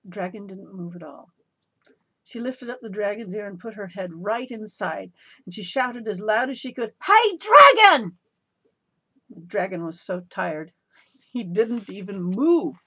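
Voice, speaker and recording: A woman reading a picture book aloud as a storyteller, expressive, with a playful, chatty way of addressing the listener. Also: mid-range voice, normal speed, slightly rough, poor audio. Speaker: children's story reader.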